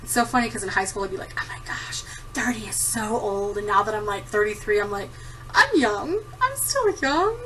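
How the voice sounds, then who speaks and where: A woman's voice: mid-range voice, animated, brisk, bright, forceful tone, distant mic, a little hiss or hum, rough recording. A woman in her thirties chatting casually to camera, giggling often and rambling with lots of ums. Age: 30s. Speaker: vlogger answering a tag. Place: home room at a desktop computer. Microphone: computer camera mic.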